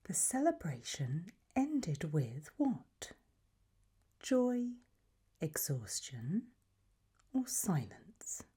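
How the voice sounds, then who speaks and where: A woman doing a whispered ASMR roleplay as an examiner, hushed and deliberate, pausing between short phrases. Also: low voice, very animated, husky. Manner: slow, deliberate, softly instructive with long pauses between options.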